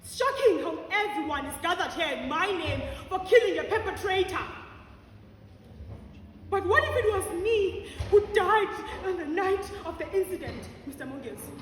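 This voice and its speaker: A woman's voice: very high-pitched, lively intonation, normal speed. A South African woman acting a defiant, grieving mother in her thirties on trial in a stage play. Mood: anguished, indignant. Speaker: stage actress. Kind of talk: theatrical courtroom stage acting. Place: large auditorium stage. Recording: noisy echoey auditorium, recorded from far off.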